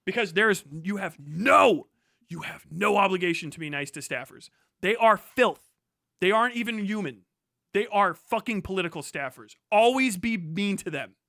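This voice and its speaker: A man with a fairly high, very bright and forceful voice, recorded dry and close. He talks like a live streamer going over the news, casual and opinionated, with blunt, emphatic delivery and plenty of swearing. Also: expressive, normal speed, vocal fry, no room sound. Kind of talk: casual opinionated livestream commentary, reading news aloud.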